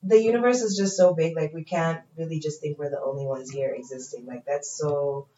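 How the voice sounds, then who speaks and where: A woman's voice: deep voice, steady tone, fairly quick, warm tone. A woman guest on a podcast, chatting casually, laughing often and full of fillers. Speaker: podcast guest, radio host. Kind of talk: casual interview chat. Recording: echoey, dull, distant mic.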